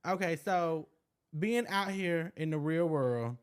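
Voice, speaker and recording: A man's voice: on the high side, animated, takes it slowly, smooth. A man in his mid twenties talking as a guest in a casual interview, blunt and rambling. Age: 20s. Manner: laid-back, blunt, rambling. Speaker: podcast guest.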